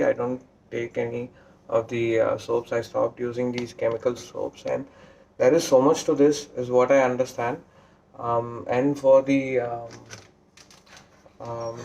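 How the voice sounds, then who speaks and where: Tamil-accented man, flat tone, echoey room. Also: medium pitch, vocal fry, muffled recording, a little hiss or hum. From South India. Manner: hesitant, many fillers and restarts. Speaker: vlogger. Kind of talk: casual vlog talk to camera. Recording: roomy echo.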